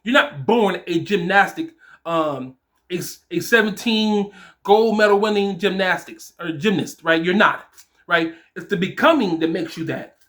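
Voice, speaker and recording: Bright, high-voiced man in his forties. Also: measured pace. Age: 40s. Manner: emphatic, informal, encouraging. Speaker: fatherhood coach and host. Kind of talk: motivational talk addressed to fathers.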